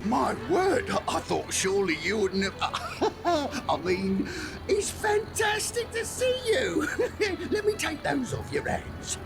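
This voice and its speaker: A man voicing a wheedling, shifty pawnshop dealer in a cartoon game, effusive and stammering with theatrical flattery. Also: very high-pitched, takes it slowly, creaky, over music, full character voice. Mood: flustered, sly. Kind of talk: scripted cartoon game acting.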